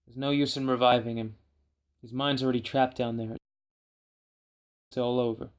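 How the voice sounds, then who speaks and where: A man's voice: medium pitch, level tone, normal speed, natural voice. A man playing a grim, somber team leader in a fan audio drama. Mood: defeated.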